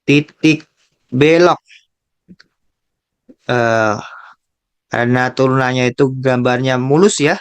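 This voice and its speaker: A man with an Indonesian accent tutoring calculus, working slowly through a graph problem, repeating key terms and thinking aloud. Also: mid-range voice, clean tone. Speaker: math tutor.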